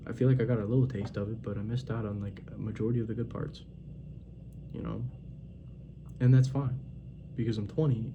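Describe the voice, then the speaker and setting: A man's voice: fairly low pitch, background noise. A man in his twenties giving a blunt, profane, rambling pep talk as a casual monologue. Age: 20s. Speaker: vlogger.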